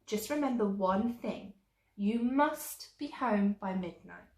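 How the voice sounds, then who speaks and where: A woman's voice: mid-range voice, close to an everyday voice. Woman playing a kindly old fairy godmother, echoey. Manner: reassuring, gently bossy. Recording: echoey room.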